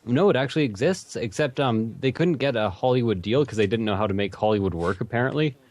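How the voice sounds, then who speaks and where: A man's voice: medium pitch, fast talker, darkish tone, dry recording. A man from Canada with a slight Canadian accent, hosting a casual gaming podcast in a blunt, profane way. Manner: blunt, swears a lot, laughs between lines.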